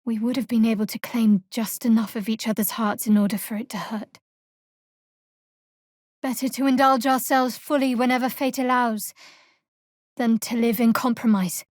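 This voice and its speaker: An actress voices a young woman cleric devoted to a dark goddess in a fantasy video game. Her voice sits fairly high, with a guarded, wry edge and measured delivery. The sound is very dry and free of room echo. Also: fairly even intonation, natural voice. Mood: measured, serious. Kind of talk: video game character voice lines.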